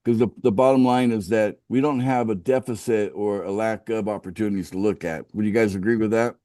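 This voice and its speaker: A middle-aged American man from the West Coast, pitching a business opportunity on a casual group call, often restarting sentences and asking the group for agreement. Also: fairly even intonation, fairly quick, warm tone, no room sound, studio quality. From United States, West Coast. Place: outdoors by a home pool.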